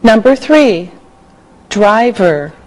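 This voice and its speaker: An older woman from Canada teaching English on a studio set with a lapel mic, dictating words slowly and clearly. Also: medium pitch, animated, rough recording. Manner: slow, clearly enunciated dictation. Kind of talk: slow scripted classroom teaching.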